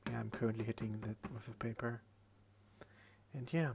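A tired young man hosting a late-night ASMR video, rambling in a soft half-whisper into a headset microphone at his computer desk. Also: mid-range voice, steady tone, normal speed, gravelly, background noise. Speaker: channel host.

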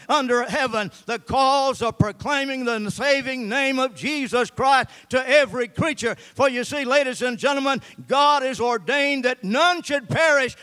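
A man's voice: extremely high pitch, fairly bright tone, clean tone. An older man preaching a sermon with a handheld mic on a church stage, reading scripture and gesturing as he talks. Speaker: pastor. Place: church stage with band gear, indoors.

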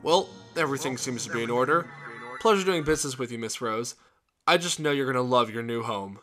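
A man's voice: mid-range voice, a little fast, music in the background, close to an everyday voice. A man voicing a suave, scheming immortal god in a cartoon, with a polite salesman's delivery. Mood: smooth, smug. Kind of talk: animated web series dialogue.

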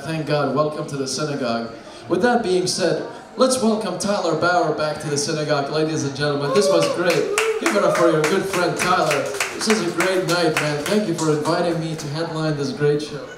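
Young guy doing dark deadpan standup, kinda high voice, roomy bar, crowd loud. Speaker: stand-up comedian. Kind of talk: stand-up set. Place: small bar stage with a live crowd. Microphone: handheld stage mic. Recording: echoey room with loud crowd.

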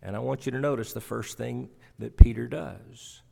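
A man's voice: mid-range voice, steady tone, normal speed, creaky, dark tone. A middle-aged man preaching a sermon from scripture at a church pulpit, emphatic, repeating phrases for weight. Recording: reverberant church hall sound.

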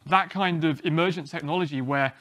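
A man, giving a relaxed, self-deprecating technical talk at a small meetup, often restarting his sentences. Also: fairly high pitch, brisk. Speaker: conference speaker. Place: small meeting room, indoors.

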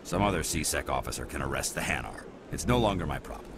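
A man's voice: very deep voice, lively intonation, quick pace, noisy background. A man playing an officious, exasperated security officer in a video game, with a stern manner. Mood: resigned.

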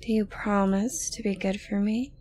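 A woman playing an obsessive, dominant yandere girlfriend in an ASMR roleplay, creepily tender and condescendingly sweet, breathy and often close to a whisper. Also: mid-range voice, mellow, slight background noise, natural voice. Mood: coaxing, menacing. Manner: slow, coaxing, condescendingly sweet. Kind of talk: ASMR roleplay monologue to the listener.

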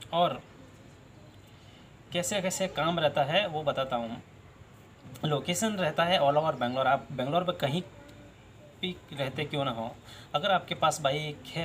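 A man's voice: fairly high pitch, a little hiss or hum. A man in his thirties hosting a job channel, giving direct-to-camera advice outdoors by a wall, gesturing and repeating key points.

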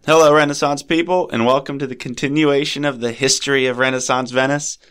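A man hosting a podcast, narrating art history in a measured, lecturing way, his voice a little scratchy and sniffly from a cold. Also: mid-range voice, fairly even intonation, fairly bright tone, clean tone, soft background music. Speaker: podcast host.

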